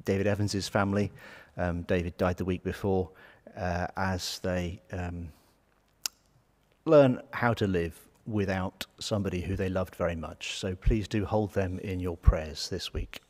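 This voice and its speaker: A man's voice: low-pitched, fairly even intonation, a little slow, darkish tone. A man chairing a church meeting, giving chatty, informal notices with many ums and asides to a congregation in a large stone church. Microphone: far fixed camera view. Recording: long church reverb.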